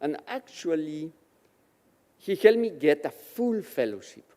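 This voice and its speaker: Slow-spoken man from Mexico, reverberant chapel. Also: fairly high pitch, very animated, mellow. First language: Yiddish. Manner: slow, measured, emotional storytelling. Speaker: guest speaker at a Shabbat service. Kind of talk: personal testimony speech. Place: synagogue chapel.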